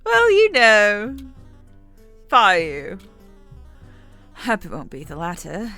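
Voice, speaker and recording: A woman's voice: medium pitch, wildly swooping pitch, takes it slowly, pushed and bright, dry recording, faint music behind, heavily acted. A woman reading out the dialogue of a cheerful female guild supervisor in a video game. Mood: welcoming. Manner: reads game dialogue aloud.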